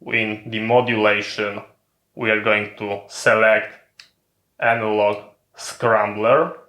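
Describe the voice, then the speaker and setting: Slow, bright-voiced man giving step-by-step instructions. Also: on the low side, level tone, roomy sound, rough recording. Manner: methodical, repetitive phrasing in short separated steps. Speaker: tech channel presenter giving a tutorial. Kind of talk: step-by-step instructional narration of a hardware demonstration.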